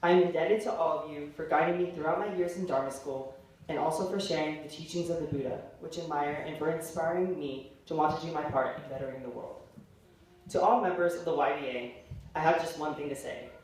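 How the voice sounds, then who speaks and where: A man's voice: fairly high pitch, fairly even intonation, brisk, a little mellow. A young man in his late teens delivering a formal, prepared farewell speech as a graduating senior. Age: late teens, young adult. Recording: very echoey hall, distant mic, faint noise.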